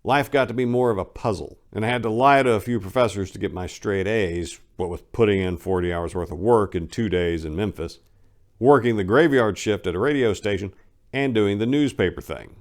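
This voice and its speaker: A man's voice: on the low side, brisk. An American man giving a dramatic reading of a comic first-person memoir in a wry, self-deprecating, conversational way. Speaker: memoir narrator.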